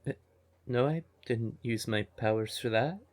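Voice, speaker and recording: A man playing a devoted, emotional siren boyfriend in an intimate fantasy ASMR audio roleplay, with a breathy, tender voice. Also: mid-range voice, close to an everyday voice. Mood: fond, puzzled. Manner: tender, unhurried, emotive, with pet names. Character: devoted male siren nightclub singer, affectionate boyfriend, easily exasperated.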